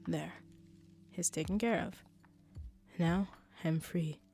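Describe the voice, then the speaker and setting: A woman's voice: lowish voice, slow, gravelly, mellow, faint music behind. A woman voicing an assured female mafia boss who is teasing and affectionate with her girlfriend, in an intimate audio roleplay.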